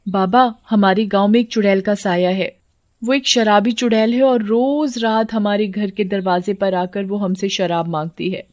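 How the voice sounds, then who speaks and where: A woman's voice: medium pitch, fairly even intonation, moderate pace, darkish tone, no echo. Woman narrating a village story.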